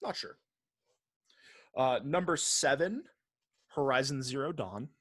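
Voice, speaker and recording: Guy on a podcast reading off a list and giving takes, relaxed swearing, average pitch, no room echo. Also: takes it slowly, clean studio sound. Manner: casual, frequent swearing. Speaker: podcast co-host. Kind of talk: casual chat, reading out a ranked list with commentary.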